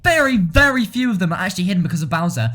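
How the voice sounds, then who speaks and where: A man's voice: high-pitched, sing-song, racing, a bit bright. Male video essay narrator, wry and joking, prone to exaggeration, with music underneath. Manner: wry, jokey, given to exaggeration. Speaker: narrator of a video game review. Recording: voice-over with music behind.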